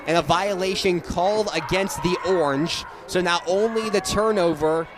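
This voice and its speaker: High-pitched young man calling play-by-play. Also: moderate pace. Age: young adult. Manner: energetic, rises to excited calls on big plays. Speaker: play-by-play sports announcer. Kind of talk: live radio lacrosse play-by-play commentary. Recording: live stadium with crowd noise. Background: crowd noise at an outdoor game.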